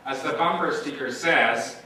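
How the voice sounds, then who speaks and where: A man's voice: on the high side, measured pace, pushed and bright, slight background noise. A man from the Philippines giving a formal, emphatic campaign speech as a candidate for office. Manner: formal, emphatic oratory with lists. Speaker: political candidate. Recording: echoey amateur recording from far off.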